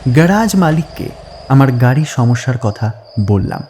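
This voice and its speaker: A man narrating a scripted horror story deliberately, pausing between short phrases. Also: mid-range voice, animated, darkish tone, slight background noise.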